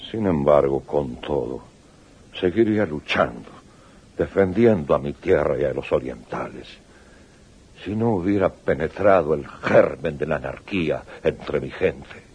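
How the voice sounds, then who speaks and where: A man's voice: very deep voice, expressive, unhurried, a little mellow, husky, full character voice. A man playing a proud, weary aging exiled military leader in a radio drama, grave and declamatory. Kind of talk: 1980s radio drama acting. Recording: muffled old radio recording.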